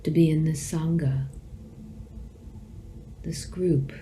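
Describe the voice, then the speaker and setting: A woman teacher leading a guided meditation, speaking gently in measured phrases with long pauses between them. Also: deep voice, steady tone, slight background noise.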